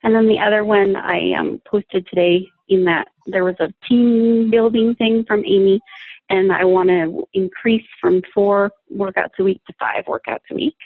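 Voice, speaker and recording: Gravelly woman on a tinny call line. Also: medium pitch, fairly even intonation, normal speed, dry recording. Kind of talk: casual group video call. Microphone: video call.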